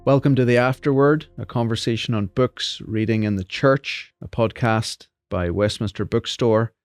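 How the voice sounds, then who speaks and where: A man's voice: medium pitch, fairly even intonation, moderate pace, no echo, soft background music. A man hosting a podcast interview, asking friendly, curious questions and murmuring along with his guest. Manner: friendly and inquisitive, with frequent murmured agreement. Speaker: podcast host.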